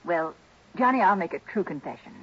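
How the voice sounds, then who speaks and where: Chatty wealthy hostess. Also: female voice, on the low side, lively intonation, a little fast, fairly bright tone, slightly rough. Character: chatty, warm-hearted wealthy hostess, middle-aged woman. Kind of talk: 1960s radio drama acting. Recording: dull lo-fi 1960s radio broadcast.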